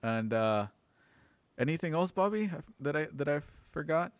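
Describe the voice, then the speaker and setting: A man hosting an online show, chatting casually and haltingly on a livestream about plans for it. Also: fairly high pitch, lively intonation, clean tone, dry recording. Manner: halting, informal. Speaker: host and organizer of an online radio drama show. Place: at home on a multistream video call.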